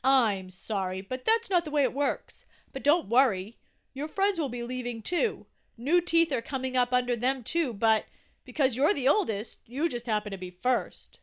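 A chipper grown-up tooth from a children's storybook, acted out by a woman. He speaks in a fairly high voice with brisk, matter-of-fact confidence. His manner is reassuring and a little patronizing, like an elder addressing a youngster. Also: expressive. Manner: upbeat, brisk, patronizing toward the little one.

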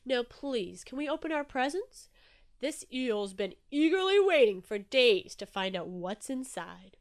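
Woman voicing a chatty, polite eel, lively pitch. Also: measured pace, full character voice. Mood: genial.

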